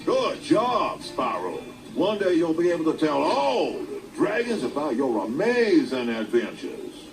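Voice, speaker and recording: A man's voice: a little high, huge pitch swings, measured pace, few pauses, recorded from a distance, exaggerated voice, low-quality recording. A man voicing an old male dragon elder in a video game, giving advice in a theatrical way. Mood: advising. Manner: theatrical, advising. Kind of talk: video game cutscene acting. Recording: music behind, from off mic.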